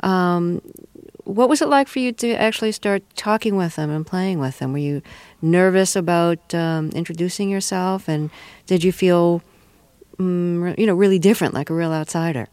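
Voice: medium pitch, normal speed, darkish tone, clean tone, dry recording. A radio host asking thoughtful, probing questions in an interview, with small restarts.

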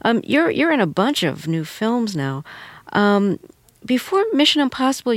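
Slow-speaking interviewer asking questions. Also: medium pitch, no room sound.

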